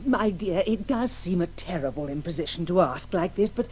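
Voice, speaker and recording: A woman's voice: fairly low pitch, animated, normal speed, a little mellow, a little hiss or hum, lightly acted. An actress playing an effusive, tentative middle-aged English mother, politely wheedling, in a radio drama. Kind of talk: 1980s radio play acting.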